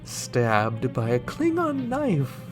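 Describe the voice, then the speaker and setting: A man playing a scheming, coolly menacing alien ambassador in a sci-fi radio drama, formal, deliberate and insinuating. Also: mid-range voice, wildly swooping pitch, music in the background, exaggerated voice. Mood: smugly menacing. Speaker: voice actor.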